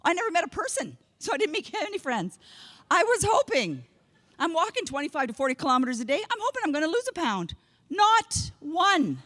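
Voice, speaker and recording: A woman's voice: sits high, fairly bright tone. A woman in her fifties, from Canada, giving a comedic keynote talk, self-mocking and quoting herself and her family in voices. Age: 50s. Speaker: keynote speaker. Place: conference hall stage.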